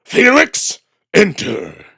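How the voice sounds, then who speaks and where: A man voicing a furious old wolf emperor, husky and gravelly, barking slow imperious orders in a visual novel. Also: sits high, wildly swooping pitch, pushed and bright, no echo, studio quality, voice pushed up, heavily acted.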